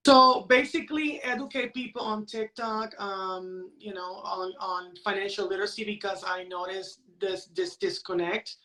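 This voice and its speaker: A woman's voice: medium pitch, steady tone, normal speed, hardly any pauses, bright, forceful tone, poor audio. A woman, explaining things conversationally as a podcast guest and checking in with "right?". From San Juan, Puerto Rico. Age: late 40s. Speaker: podcast guest, crypto educator. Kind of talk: casual conversational interview.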